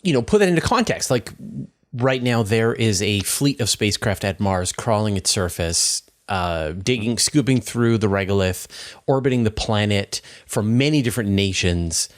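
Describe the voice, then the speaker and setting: Man with a fairly low voice, interviewing. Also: animated, moderate pace. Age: middle-aged. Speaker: interview host. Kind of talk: conversational interviewing. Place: indoors, room with shelves, video call.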